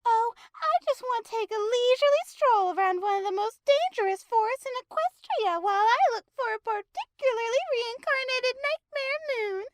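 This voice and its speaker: A woman's voice: very high-pitched, expressive, normal speed, few pauses, no echo. A woman playing a sarcastic, irritable female pony cultist in an audio drama, with exasperated, emphatic delivery. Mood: mocking.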